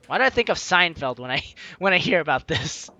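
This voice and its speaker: A young man plays through a story game with bright, forceful energy. His pitch swings widely as he chats playfully and reads out lines dramatically, with music running behind him. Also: big pitch swings, fairly quick, dry recording. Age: young adult. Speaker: let's player hosting a game series. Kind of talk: lively game commentary, reading dialogue aloud.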